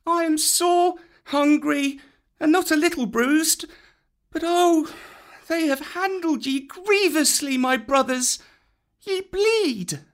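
Reader doing a young jungle boy, very high. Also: slow. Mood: eager. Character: young boy raised by animals, eager.

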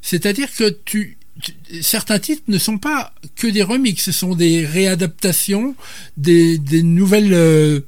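A man with a fairly high voice hosts a radio show and interviews a guest. He sounds hesitant and stumbles over repeated words. The sound is dry and close. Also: unhurried, a little mellow, no echo.

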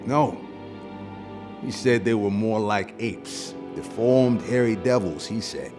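A low-voiced superior officer in a radio play, speaking slowly and deliberately with quiet authority. Music sits under his voice. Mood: measured. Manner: slow, measured, authoritative. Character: commanding officer man, measured and stern. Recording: music bed under dialogue.

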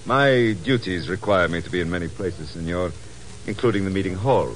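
An actor playing an obsequious, prying official in a 1950s radio drama, over-polite and insinuating. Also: male voice, low voice, moderate pace, close to an everyday voice. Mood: oily, prying. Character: smooth, obsequious, prying foreign male official.

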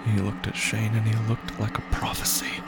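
Male horror narrator: low, fry-edged, grave, deliberate pauses, dramatic scripted read. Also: completely flat delivery, over loud music.

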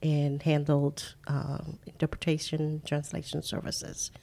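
An older woman from the Caribbean, a guest in an interview, speaking unhurriedly and halting with fillers. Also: low-pitched, completely flat delivery, creaky, mellow. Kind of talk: casual interview chat.

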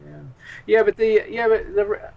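High-pitched man, halting interview guest. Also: steady tone, narrow, tinny audio. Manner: hesitant, halting, repeats words. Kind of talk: casual unscripted conversation.

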